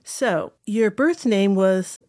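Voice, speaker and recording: A woman's voice: medium pitch, slow pace, a little mellow, no room sound, clean studio sound. A woman hosting an interview, asking friendly prompting questions. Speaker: host.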